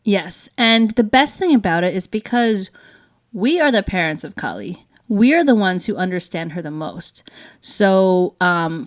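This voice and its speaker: Female vlog host, upbeat and enthusiastic, conversational delivery with a mid-range voice. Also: medium pitch. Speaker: show host. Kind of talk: chatty co-hosted family vlog.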